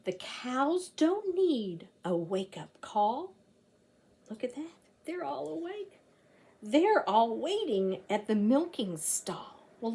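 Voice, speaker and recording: A middle-aged woman reading aloud to young children like a teacher, sing-song and animated, often breathy, stretching key words and putting questions to her listeners. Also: high voice, slight background noise. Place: small indoor room, seated by a door.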